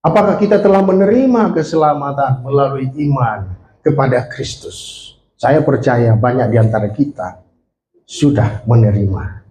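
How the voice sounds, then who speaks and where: A man's voice: mid-range voice, lively intonation, normal speed, warm tone. Echoey preaching man in his forties. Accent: Indonesian. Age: 40s. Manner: engages the congregation with questions and amen calls. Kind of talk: sermon. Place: church. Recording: echoey church hall.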